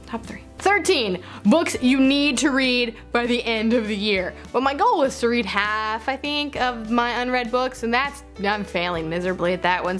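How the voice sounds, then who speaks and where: Higher voiced woman doing a casual book vlog, playful, keeps interrupting herself, faint music behind. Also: a bit bright. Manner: playful, self-interrupting, gushing with repeated words. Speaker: book vlogger. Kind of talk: casual chatty vlog to camera.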